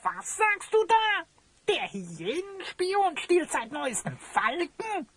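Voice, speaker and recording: A plucky little adventurer sidekick in an audio play. The voice is extremely high and bright, pert and exclamatory, recorded very dry. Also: female voice, sing-song, slow pace, no room sound, exaggerated voice. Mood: curious. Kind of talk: German audio drama acting.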